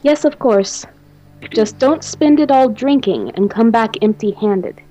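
A woman's voice: fairly high pitch, expressive, unhurried, poor audio. A woman playing a commanding, composed mad scientist mistress in an amateur radio play. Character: commanding female mad scientist, devoted to undead lover. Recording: faint music behind the voice.

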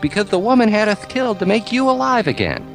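Man playing a high, plaintive young male ghost. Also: big pitch swings, quick pace, gravelly, faint music behind, exaggerated voice. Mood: bitter, accusing. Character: pleading young male ghost, mayor's murdered son, bitterly wronged. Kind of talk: amateur radio play acting.

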